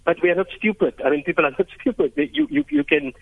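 A South African man whose first language is Afrikaans speaks as a radio interview guest. His voice sits a little high, and he feels his way through sentences, repeating words for emphasis. He comes through a muffled, narrow phone line. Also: sing-song, quick pace, vocal fry. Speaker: interview guest, heritage site chief executive.

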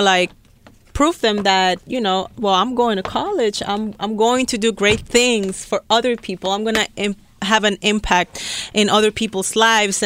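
Frank woman guest, smooth clear voice. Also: medium pitch, a bit bright. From Dominican Republic. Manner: frank, laughs easily, fillers like "you know" and "like". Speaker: podcast guest. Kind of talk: candid personal story in casual chat.

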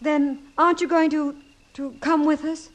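A woman's voice: high voice, level tone, moderate pace, close to an everyday voice. An actress playing an exasperated, weary wife and mother with an American accent, pleading in 1950s radio comedy style. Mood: fed up.

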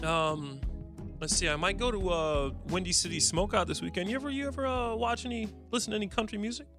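A man's voice: high-pitched, fast talker, music in the background. A man in his late thirties, a former football player co-hosting a sports radio show, talking with easy confidence. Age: 30s. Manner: confident, boastful, relaxed. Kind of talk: casual radio banter. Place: radio studio. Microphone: studio microphone.

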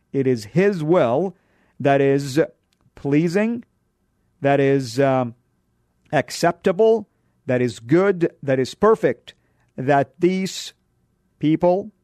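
A man's voice: darkish tone, dry recording, clean studio sound. A man from Arabia, hosting a radio show and giving an emphatic sermon-style exhortation. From Saudi Arabia. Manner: emphatic, pitch rising on key words.

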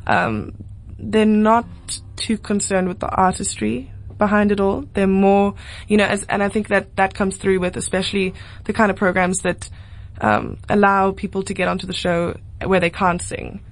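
A woman's voice: mid-range voice, level tone, moderate pace. A woman in her twenties with a South African accent, a singer-songwriter chatting casually as a guest in a radio interview. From Cape Town, South Africa. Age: 20s.

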